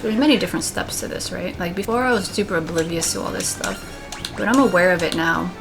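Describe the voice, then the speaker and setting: Young female let's player, flat and low-pitched, thinks out loud with game music underneath. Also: fast, creaky. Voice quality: monotone. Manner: thoughtful, rambling, candid. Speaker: let's play gamer. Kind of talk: casual commentary while gaming.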